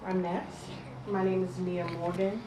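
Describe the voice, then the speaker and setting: A woman talking about her own initiation in a group interview, halting, with many pauses and fillers. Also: fairly low pitch, little pitch movement. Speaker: interviewee, priestess. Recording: echoey room, distant mic, loud noise.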